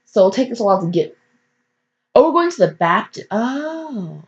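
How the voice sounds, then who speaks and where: A woman's voice: on the high side, big pitch swings, normal speed, husky. A woman casually reading a story aloud, stumbling and breaking off for chatty, jokey asides. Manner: self-interrupting, stumbling, jokey. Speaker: fan fiction reader. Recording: dull lo-fi microphone.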